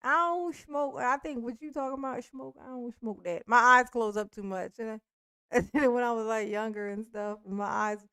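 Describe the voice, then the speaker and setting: A woman in her forties with a high, gravelly voice talks bluntly and profanely. Her voice is smooth underneath the gravel, and the sound is dry and close. She laughs often and restarts her sentences. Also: normal speed, sharp tone, dry recording. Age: 40s. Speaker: streamer. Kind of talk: casual live-stream chat.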